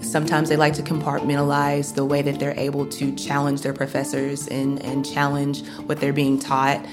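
A woman's voice: extremely low pitch, completely flat delivery, loud music behind the voice. A woman, an assistant university chaplain, speaking thoughtfully in an interview soundbite. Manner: thoughtful, measured, with ums.

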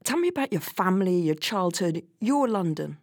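Woman podcast host, lower pitch, friendly interviewer, dry close sound. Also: very animated, normal speed, darkish tone, dry recording. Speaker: podcast host, program director.

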